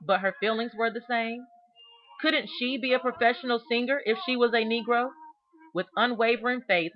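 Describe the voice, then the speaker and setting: A woman reading a children's biography aloud in an expressive, deliberate storytelling manner, stressing key words. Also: a little high, low-quality recording. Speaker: storybook reader.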